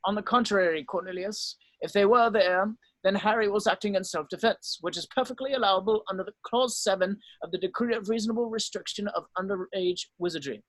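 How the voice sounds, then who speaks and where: Wise old wizard advocate read by a man, notably high voice, dry close sound, polite and measured. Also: dry recording, low-quality recording. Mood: firm. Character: wise, calm, courteous old wizard headmaster defending the accused. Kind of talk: amateur online table read of a screenplay.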